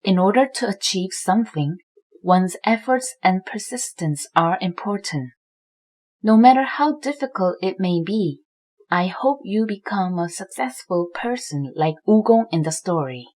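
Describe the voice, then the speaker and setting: A woman's voice: mid-range voice, expressive, unhurried. A woman storyteller reading a scripted children's story and its lesson. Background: soft music.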